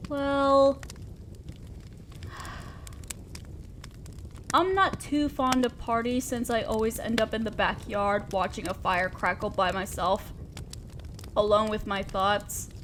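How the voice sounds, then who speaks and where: A woman's voice: high voice, a little hiss or hum. A woman playing a shy, awkward, kind young neighbor in an intimate roleplay audio drama, speaking hesitantly and apt to ramble self-consciously. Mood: awkward, apologetic. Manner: hesitant, soft-spoken, rambling, self-deprecating. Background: outdoor fire ambience.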